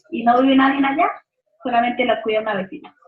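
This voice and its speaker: A woman answering in a group Bible study, hesitant and searching for words. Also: high voice. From Colombian Caribbean coast. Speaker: study participant. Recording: echoey, dull lo-fi audio.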